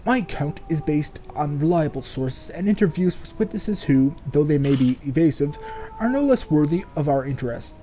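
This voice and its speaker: A man's voice: fairly high pitch, expressive, normal speed, mellow, soft background music. A man doing casual game commentary and reading game text aloud, sardonic and free with swearing. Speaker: let's player.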